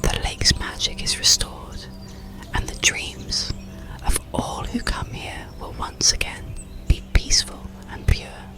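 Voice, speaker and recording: Whispering, gentle water nymph, as a narrator plays it. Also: slow pace, over music, lightly acted. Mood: grateful, serene. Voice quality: breathy whisper. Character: gentle, grateful water nymphs guarding an enchanted lake.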